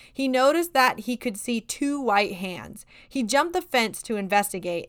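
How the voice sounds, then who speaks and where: A woman with a fairly high voice hosts a ghost-story podcast and reads from her script in an earnest, plain way. Her voice is wearing thin and starting to fade. The sound is smooth and close, with a dry, dead room around it. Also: fairly bright tone, dry recording. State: losing her voice. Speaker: podcast host.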